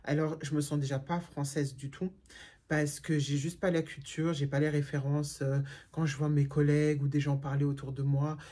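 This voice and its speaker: A woman's voice: low voice, little pitch movement, moderate pace, dark tone. A middle-aged woman from Germany chatting casually and frankly as a vlogger answering viewer questions, with many fillers and restarts. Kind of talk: casual chat.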